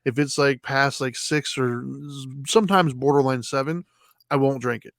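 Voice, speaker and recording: A man co-hosting a casual talk show, explaining sleep habits in an easygoing way, often halting and restarting his sentences. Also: mid-range voice, fairly even intonation, vocal fry, a bit bright, dry recording, studio quality. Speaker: podcast co-host.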